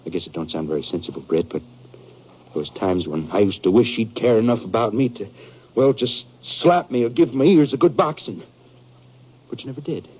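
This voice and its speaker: An actor playing a bitter, brooding western outlaw in a 1950s radio drama, speaking in halting, rustic, confessional fashion. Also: male voice, medium pitch, breathy edge, natural voice. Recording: dull 1950s radio recording.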